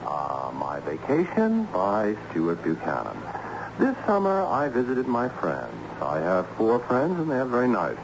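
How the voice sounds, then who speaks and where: An actor playing a harried, ironic assistant principal in an old-time radio drama, theatrical and patiently explanatory. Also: male voice, fairly low pitch, huge pitch swings, a little slow, exaggerated voice. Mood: enthused, explanatory. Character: harried, excitable male school assistant principal with ironic humour. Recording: dull, noisy old radio recording.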